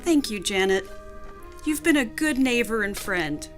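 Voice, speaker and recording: A demure young-sounding widow acted by a woman in an audio drama, speaking in a high voice over light music. She is genteel and composed in her grief. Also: animated, a little slow. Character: newly widowed wife, demurely grieving yet comfortably composed.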